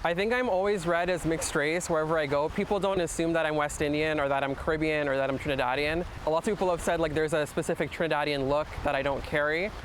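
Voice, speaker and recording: A man's voice: a little high, fairly even intonation, quick pace, few pauses, background noise. A man speaking reflectively as a documentary interviewee, explaining his personal experience. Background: music.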